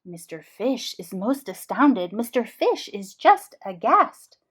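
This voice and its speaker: A woman reading a storybook aloud to young children in an expressive, explanatory way. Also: on the high side, slow, husky. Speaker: read-aloud host for young English learners.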